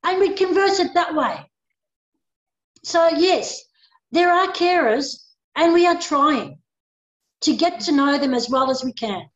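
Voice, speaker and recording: A pastoral care sister with a high, bright-sitting voice talks with lively emphasis. She acts out little exchanges as she goes. The recording is roomy and muffled. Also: unhurried, pauses often. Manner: emphatic and animated, acts out conversations. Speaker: pastoral carer and religious sister. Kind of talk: panel discussion. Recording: echoey dull-sounding room.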